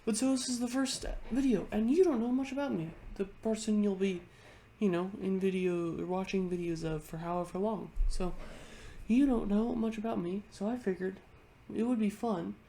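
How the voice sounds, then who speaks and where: A woman's voice: medium pitch, moderate pace, warm tone, slight background noise. A congested woman vlogger chatting casually into her webcam at home, rambling and often restarting her sentences. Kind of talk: casual chat ranking things on a tier list.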